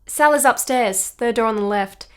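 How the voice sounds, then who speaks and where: An actress plays a refined young wife in a period radio drama. Her somewhat high voice is polite, articulate and self-possessed, with gracious intelligence. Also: normal speed, background noise, close to an everyday voice.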